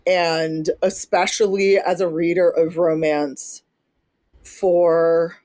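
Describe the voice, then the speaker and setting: A woman's voice: lowish voice, flat delivery, slow pace, darkish tone. A woman in her thirties hosting a podcast, chatting casually, laughing often and swearing now and then. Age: 30s. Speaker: podcast host. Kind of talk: casual chat.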